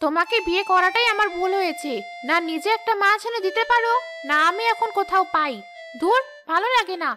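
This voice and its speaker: Very high-pitched sulky ghost wife voiced by a woman. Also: music in the background. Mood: sulky, angry. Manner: whining, scheming, quick to complain. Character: sly, sulky, greedy female ghost wife craving fish. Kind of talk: cartoon ghost-story dialogue.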